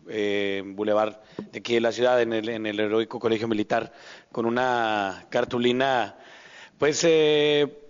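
Reporter guy, smooth voice, formal question with some ehs, echoey hall, lo-fi. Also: mid-range voice. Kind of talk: press question. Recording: echoey hall, dull lo-fi sound.

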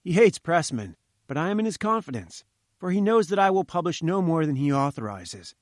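An upbeat young newspaperman, as a male narrator puts him on, pitched well above the reader's own voice. He speaks briskly and confidingly. The sound is dry and close. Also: sing-song, dry recording. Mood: eager.

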